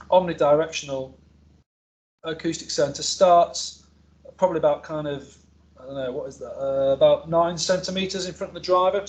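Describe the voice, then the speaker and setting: A man's voice: a little high, darkish tone. A male academic researcher presenting a technical seminar in an informal, explanatory way, with hesitations and self-corrections. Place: seminar room with an audience present.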